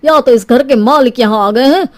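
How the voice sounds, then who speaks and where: Bold, skeptical young male neighbor played by a woman, insistent, high-pitched, audio horror story. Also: moderate pace, darkish tone, no room sound, close to an everyday voice. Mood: defiant.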